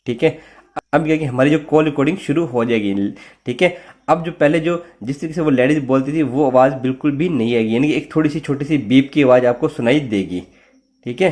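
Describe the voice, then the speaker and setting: A man's voice: mid-range voice, fairly quick, a little mellow. A man with an Indian accent hosting an informal tech tutorial, explaining each step in an instructional, repetitive way with frequent check-in tags. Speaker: host of a tech tutorial channel.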